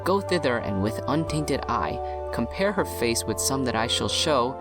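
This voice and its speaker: A man's voice: mid-range voice, animated, normal speed, loud music behind the voice, close to an everyday voice. A man playing a sensible, teasing young nobleman and loyal friend in a Shakespearean audio play. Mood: teasing, persuasive.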